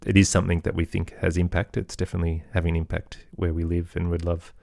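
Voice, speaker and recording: A man's voice: sits low, level tone, fast, gravelly, darkish tone, no echo, clean studio sound. A middle-aged man giving thoughtful, earnest answers as an interview guest in a conversational way. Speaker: interview guest, podcaster and musician.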